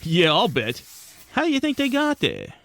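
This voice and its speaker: A man's voice: high voice, huge pitch swings, normal speed, creaky, a bit bright, exaggerated voice. An actor playing a plucky, wisecracking penguin detective who tells his case in slangy hard-boiled style for a comic audio drama. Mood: inquisitive, breezy. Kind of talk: scripted comic noir narration and dialogue.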